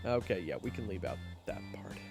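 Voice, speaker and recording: A man's voice: lowish voice, very animated, a little fast, vocal fry. A man playing a nervous, incredulous young adventurer in a fantasy audio drama, casual and easily flustered. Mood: amused disbelief. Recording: roomy sound under loud music.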